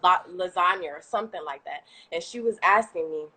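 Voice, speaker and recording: Chatty Haitian-accented woman, bright tone. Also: fairly low pitch, a little fast, husky, rough recording. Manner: talkative, animated, laughs often. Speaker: guest entrepreneur. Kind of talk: casual live-stream chat. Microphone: video call.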